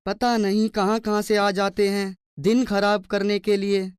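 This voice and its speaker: A woman's voice: mid-range voice, flat delivery, unhurried, darkish tone, clean tone, no room sound, clean studio sound, natural voice. A woman voicing a greedy, suspicious, newly rich man in a cartoon story, with a dismissive, grumbling manner. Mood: grumbling, possessive. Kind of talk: children's cartoon story dialogue.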